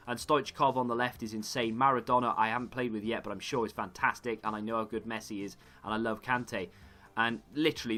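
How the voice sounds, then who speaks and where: A man, slightly hoarse, rambling through casual gaming commentary and correcting himself as he goes. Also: medium pitch, sharp tone. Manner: rambling, self-correcting chatter. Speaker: gaming YouTuber.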